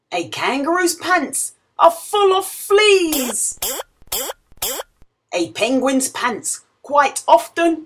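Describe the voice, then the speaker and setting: A woman reading a picture book aloud to young children in an expressive, questioning storytelling manner. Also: sits high, a little hiss or hum. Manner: expressive, exclamatory, asks the listener questions.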